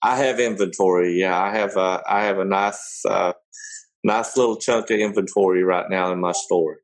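A man's voice: low voice, fairly even intonation, moderate pace. A middle-aged man from Germany, a small business owner answering interview questions hesitantly. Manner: hesitant, many fillers and restarts.

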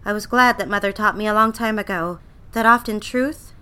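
Yearning young woman confiding, mid-pitched voice, acted. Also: brisk, bright, forceful tone, clear, resonant tone, natural voice. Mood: wistful, confessional. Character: yearning, self-deceiving young woman copywriter narrating her diary. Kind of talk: scripted first-person audio drama narration.

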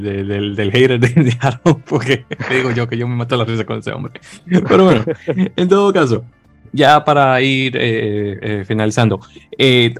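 Male host, chatty, stumbles and restarts, laughs a lot, faint music behind. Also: mid-range voice. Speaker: podcast host. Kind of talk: casual chat.

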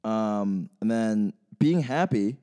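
A man's voice: on the low side, animated, takes it slowly, dark tone, clear, resonant tone. A man co-hosting a casual sports podcast, talking in a rambling, self-deprecating way with many restarts. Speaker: podcast co-host.

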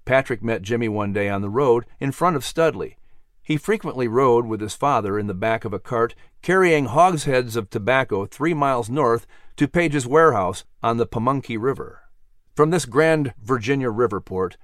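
Guy narrating a kids audio story, theatrical announcer type, mid pitch, really lively intonation, no room sound. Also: moderate pace, dry recording, studio quality. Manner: theatrical, expressive. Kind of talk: scripted children's story narration.

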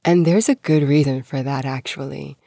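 Low-pitched, mellow-voiced woman hosting a podcast. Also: fairly even intonation, normal speed, creaky, no room sound, clean studio sound. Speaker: podcast host. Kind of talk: scripted podcast narration of news.

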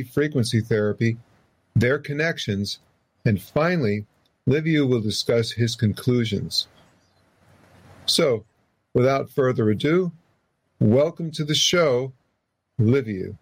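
A man hosting a podcast, reading the guest's introduction in a steady listing rhythm, then chatting. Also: mid-range voice. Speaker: podcast host.

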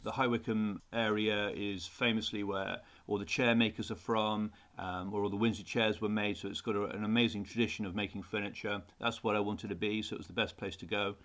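A man's voice: fairly low pitch, fairly even intonation, fairly quick, few pauses, fairly bright tone. A middle-aged man, a teacher answering interview questions in an earnest way, often repeating words when he restarts. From England. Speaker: design teacher, podcast guest.

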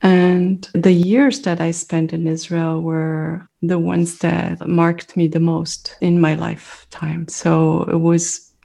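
A middle-aged woman whose first language is French, speaking as an interview guest. She talks in a thoughtful, reflective way, pausing often as she searches for words. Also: lowish voice, flat delivery, creaky, mellow. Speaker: mindfulness meditation teacher, guest.

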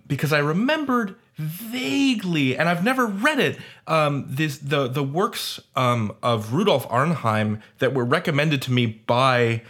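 A man's voice: medium pitch, fairly bright tone. A man speaking in an academic panel discussion, articulate and wordy with scholarly phrasing. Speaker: roundtable panelist, audio fiction creator.

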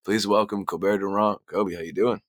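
A young man with a hoarse voice, hosting a casual sports podcast with jokey, self-deprecating banter. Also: fairly low pitch, fairly even intonation, a little fast, no echo, studio quality. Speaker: podcast host.

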